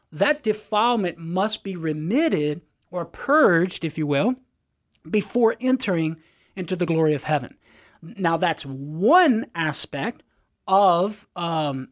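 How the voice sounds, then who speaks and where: A man with a Cajun accent, speaking at a middling pitch. He explains doctrine in a measured, teacherly way, leaning hard on key words and turning back to the caller by name. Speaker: apologist. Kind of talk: explaining doctrine on call-in radio.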